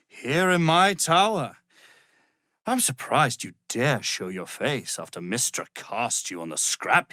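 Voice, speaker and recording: An actor playing an arrogant, power-hungry wizard villain in a fantasy video game, with a haughty, theatrical, condescending manner. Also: male voice, medium pitch, fairly bright tone, no room sound, studio quality. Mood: covetous, scornful.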